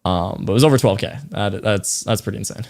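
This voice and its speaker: A young man with a slightly raspy voice, worn out after a long stream, chatting casually to his viewers and thanking donors with lots of slang. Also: lowish voice, quick pace, darkish tone. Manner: loose, thanks donors, slang-heavy, trails off. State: tired, voice getting raspy. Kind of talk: casual livestream chat to viewers.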